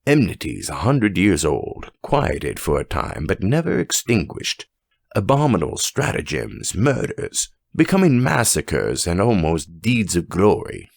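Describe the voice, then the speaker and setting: A man voicing a dignified, rational judge telling a story in a grave, measured and formal manner for an audiobook. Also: sits low, wildly swooping pitch, creaky, no room sound, clean studio sound, heavily acted. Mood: grave, emphatic. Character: dignified, weighty, rational male French judge.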